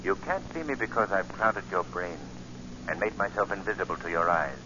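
An actor playing a mysterious, menacing invisible avenger who questions with deliberate command in 1940s radio style. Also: male voice, low voice, animated. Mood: commanding, eerie. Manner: slow, commanding, deliberate interrogation. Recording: noisy, muffled 1940 radio recording.

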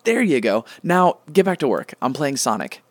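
A man's voice: mid-range voice, very animated, normal speed, no room sound. A man playing a self-important, petulant god with a pompous, dismissive manner in a comic radio play.

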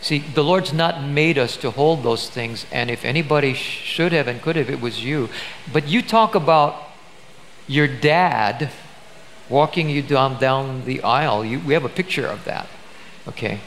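A man's voice: mid-range voice, measured pace, a bit bright. Older man, pastor, emphatic conversational preaching, church stage, faint hiss. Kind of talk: sermon interview on stage.

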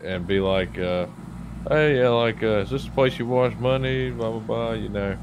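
A man's voice: medium pitch, normal speed, over a noisy crowd. A man making casual in-character remarks in an online role-play game over voice chat.

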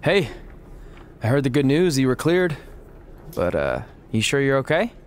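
A man's voice: medium pitch, very animated, a little slow. An actor voicing a young male wrestler who is earnest, caring and a little awkward. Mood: concerned. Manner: friendly, slightly awkward. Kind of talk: game cutscene dialogue.

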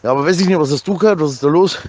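Aufgebrachter Mann mit eher hoher Stimme. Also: male voice, fairly high pitch, very fast, slight background noise. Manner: rambling, stammering with repeated words, slangy, crude swearing. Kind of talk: casual chat, agitated rant.

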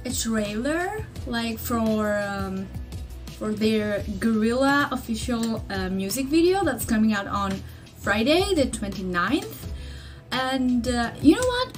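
A young woman from Greece with a Greek accent, chatting to a webcam in her bedroom in English, her second language, rambling with many fillers and restarts. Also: on the high side. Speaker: vlogger. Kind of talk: casual chat to camera. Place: small bedroom indoors. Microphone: laptop webcam mic at desk distance.

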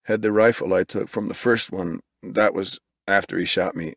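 A man voicing a badly wounded male teacher who speaks weakly, halting and urgent. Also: sits low, fairly even intonation, a bit bright, no echo, clean studio sound.

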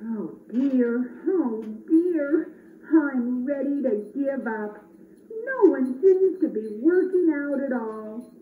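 An earnest little elf, voiced by a woman frets kindly in a high, lively singsong. It comes through tinny, from across an echoing room. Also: takes it slowly. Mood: worried. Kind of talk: children's puppet show. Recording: echoey, tinny, distant camera, faint noise.

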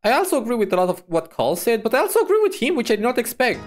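A woman's voice: a little high, very animated, rapid-fire, soft background music. A woman reacting to a video as a VTuber, musing aloud in a casual, hesitant, repetitive way.

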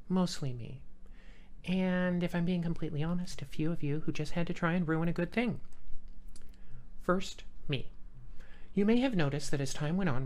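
Deep-voiced, sardonic woman delivering a monologue. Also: a little mellow. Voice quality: deep, bass-boosted voice. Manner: dry, sardonic, dramatic pauses. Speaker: video creator and host of a retro gaming channel. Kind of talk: scripted monologue channel update.

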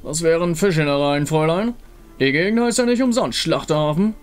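A man voicing a gruff, wry male outlaw in a game, with a blunt, dry manner. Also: fairly high pitch, expressive, a little fast, faint music behind, natural voice.

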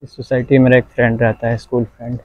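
A man's voice: mid-range voice, completely flat delivery, quick pace, no echo, highs cut off. A man vlogging as he walks outdoors, chatting to viewers and giving them casual, instructive advice. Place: outdoors, walking in the sun.

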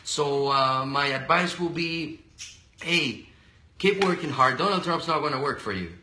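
Venezuelan accent, man in his thirties, bright forceful voice, echoey small room. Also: a little high, expressive, unhurried, slight background noise. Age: 30s. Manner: earnest and upbeat, many restarts and stammers. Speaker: guest, radio and TV personality. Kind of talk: casual interview conversation. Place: small indoor office room.

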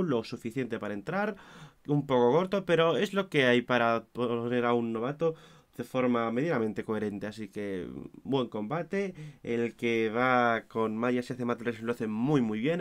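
A hoarse young man giving an opinionated, self-mocking casual review, with his volume swinging between loud and mumbled. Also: medium pitch. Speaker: wrestling show reviewer.

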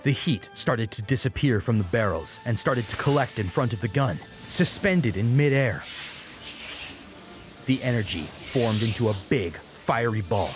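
A man's voice: mid-range voice, lively intonation, a little slow. A man reading a dramatic action story as an audiobook narrator. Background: music under the voice.

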